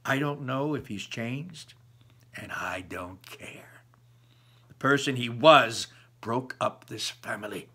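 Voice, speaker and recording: A man's voice: medium pitch, takes it slowly, pushed and bright, breathy edge, full character voice. A man voicing a wounded, angry, protective mother from a storybook, scolding her child with emphasis. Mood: hurt, angry.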